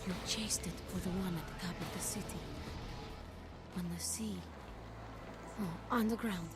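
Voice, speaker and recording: An actress playing a cryptic female seer in a video game, lost in visions, halting and breathy. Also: medium pitch, steady tone, loud music behind the voice, lightly acted. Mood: dazed, uneasy.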